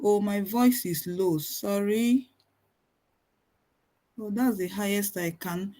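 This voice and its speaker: A woman's voice: medium pitch, takes it slowly, mellow. A Nigerian woman whose first language is Igbo, presenting a technical webinar lecture over a video call microphone, listing items one by one and often restarting her sentences. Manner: methodical, lists items one by one with frequent restarts.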